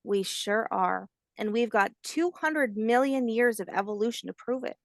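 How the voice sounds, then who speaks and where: A woman voicing a kindly, patient old grandmother alligator, telling facts in a gentle storybook manner. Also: on the high side, normal speed, no echo, studio quality, lightly acted. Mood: tender. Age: older.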